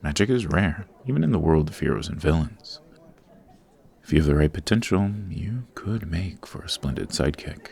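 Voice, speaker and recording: A man playing a suave, formal supervillain boss in an ASMR roleplay, patient and exacting, with measured, instructive speech. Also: very deep voice, expressive, vocal fry, darkish tone, husky, full character voice. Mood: intrigued, encouraging. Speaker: voice actor.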